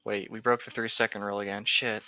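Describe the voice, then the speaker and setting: A young man co-hosts a casual chat with a bright, forceful voice at a middling pitch. He banters bluntly, often exasperated. The recording is dry and a little dull, like a voice call. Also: little pitch movement, fast talker, clean tone, dry recording. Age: young adult.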